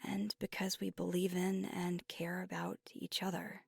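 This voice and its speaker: A woman playing an earnest, conflicted young werewolf girlfriend in an intimate ASMR roleplay, speaking softly and candidly, often near a whisper, close to the mic. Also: fairly low pitch, flat delivery, vocal fry, husky, dry recording, exaggerated voice. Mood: flustered, humble. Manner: deliberate, candid, casually profane. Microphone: close microphone, dry.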